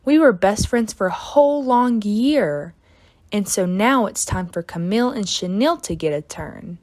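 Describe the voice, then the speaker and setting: Woman playing a prissy little schoolgirl with swooping pitch. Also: expressive, measured pace, darkish tone, dry recording, clean studio sound, lightly acted. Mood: smug, dismissive. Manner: prim, dismissive, affected. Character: prissy, haughty first-grade girl, vain and bossy. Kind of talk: children's storybook read-aloud.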